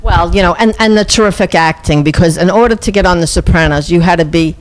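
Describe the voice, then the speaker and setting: A woman hosting a TV interview, leading her guest with encouraging questions and quick affirmations. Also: lowish voice. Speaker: TV host interviewer. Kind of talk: interview conversation.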